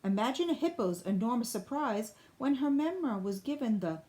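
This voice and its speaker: A woman reading a children's picture book aloud in an expressive, deliberate storybook style. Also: fairly high pitch, poor audio. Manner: deliberate, clearly phrased storybook delivery.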